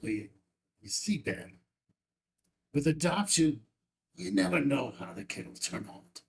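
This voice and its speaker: An older rancher father in a film drama , played by a man at a table read. He speaks slowly and deliberately, with a gravelly creak in a slightly higher male voice. He is gentle and sincere, halting as he goes. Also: big pitch swings, full character voice. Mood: solemn confession.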